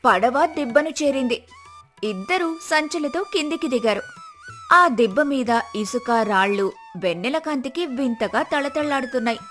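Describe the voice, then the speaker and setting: A woman's voice: high voice, animated, moderate pace, fairly bright tone, soft background music. A woman narrator reading a scripted story.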